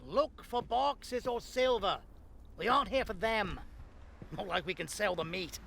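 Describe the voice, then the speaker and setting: An actor playing a callous, quick-tempered looter leader with theatrical mock courtesy in a horror audio drama. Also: male voice, sits high, vocal fry, fairly bright tone, slight background noise, full character voice. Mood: cold, commanding.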